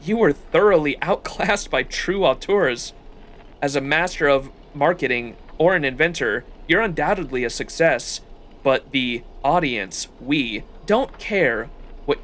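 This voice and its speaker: A man voicing a smug, cool-headed businessman from a game, measured and mocking. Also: on the high side, expressive, a bit bright. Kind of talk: acted reading of game dialogue.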